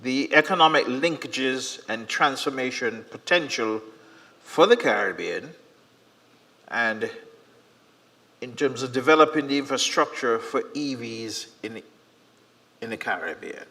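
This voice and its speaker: A man moderating a conference, introducing the next session and presenter in a measured, emphatic way. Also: mid-range voice, lively intonation, sharp tone. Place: conference hall. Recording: reverberant conference hall.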